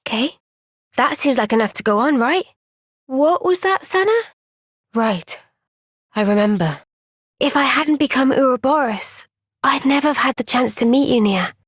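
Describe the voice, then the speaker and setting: A gentle, thoughtful young woman soldier from a video game cutscene. She speaks softly and earnestly, often sighing, in a fairly high voice. The sound is close and dry. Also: no room sound. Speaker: voice actor.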